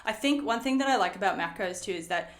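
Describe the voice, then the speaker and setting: A chatty young woman, Swedish by birth, talks in an American accent full of "like" and "um". Her voice sits at a middle pitch and rings in an echoey room. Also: expressive, fast. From Sweden. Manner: chatty, lots of fillers and likes. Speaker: guest. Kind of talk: casual interview chat.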